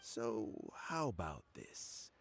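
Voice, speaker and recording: Gravelly, weary elderly statesman. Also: on the low side, wildly swooping pitch, in a whisper, heavily acted. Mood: obliging, deliberate. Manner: measured, formal, deliberate. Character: elderly, weary, courteous male government official. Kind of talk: video game voice acting.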